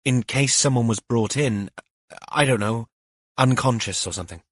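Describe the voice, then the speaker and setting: A man's voice: mid-range voice, moderate pace, a bit bright, no room sound, clean studio sound, lightly acted. A man voicing an anxious woman shop worker in an audiobook, hesitant, rambling and apologetic.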